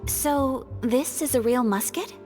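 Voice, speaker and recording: A refined young swordswoman speaks in a high, gentle voice. She is courteous and earnest in an anime game style, with a long reverb around her words. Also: unhurried, soft background music. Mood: polite, curious. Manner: polite, formal, gentle.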